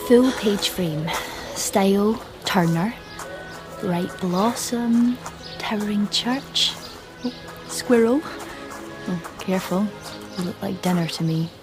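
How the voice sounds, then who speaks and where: A woman's voice: mid-range voice, slow pace, over music. An actress playing a painfully shy, jobless young woman in a naturalistic radio drama, hesitant and stammering, with wry self-deprecation. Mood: dreamy.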